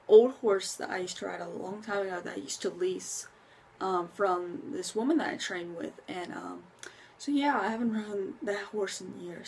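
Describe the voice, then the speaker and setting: A woman's voice: medium pitch, slight background noise. A young woman vlogging to a phone held at arm's length in her bedroom, chatty, with many ums and restarts. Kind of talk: casual vlog talk to camera. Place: bedroom at home, indoors.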